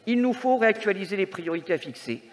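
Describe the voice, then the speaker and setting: A woman's voice: medium pitch, animated, quick pace. A woman reading a prepared, formal and critical political speech as a councillor at a council meeting. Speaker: metropolitan councillor. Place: large indoor council chamber. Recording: reverberant council hall sound.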